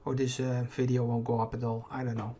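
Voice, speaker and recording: A man's voice: medium pitch, monotone, brisk, warm tone, a little hiss or hum. A man casually commenting as he plays a video game, rambling and hesitant, often stopping to correct himself. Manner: rambling, hesitant, self-deprecating. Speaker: gaming YouTuber. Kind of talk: casual let's play commentary. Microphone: desktop mic over game audio. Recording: game music playing behind voice.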